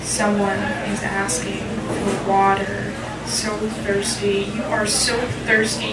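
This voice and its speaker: A woman poet giving a live reading, deliberate in her phrasing and frank and informal in her delivery. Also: mid-range voice. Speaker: poet, featured reader. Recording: echoey, distant mic, loud crowd noise.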